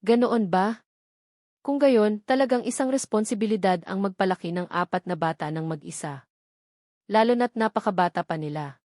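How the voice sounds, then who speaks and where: Suspicious, commanding gentleman employer read by a woman narrator, romance storybook, little room sound. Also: mid-range voice, moderate pace, no room sound, clean studio sound, close to an everyday voice. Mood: doubtful. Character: serious, suspicious wealthy male employer.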